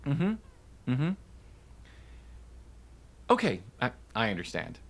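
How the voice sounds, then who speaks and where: A woman's voice: a bass voice, huge pitch swings, takes it slowly, creaky, full character voice. A woman acting as a nervous parent in a naturalistic drama, hesitant and prone to stammering. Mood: anxious, apologetic. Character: nervous, halting parent, a struggling musician called Dad.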